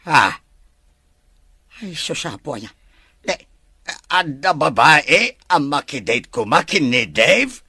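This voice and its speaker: A man playing a grown son in an Ilocano radio drama, exclamatory and easily astonished, with drawn-out delivery. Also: on the high side, big pitch swings, sharp tone, husky, no echo, clean studio sound, heavily acted. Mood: shocked.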